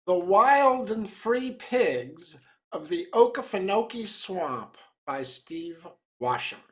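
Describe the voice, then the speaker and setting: Guy reading a story out loud, real slow and measured, kinda theatrical. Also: high-pitched, sing-song. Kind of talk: dramatic reading of a short tale.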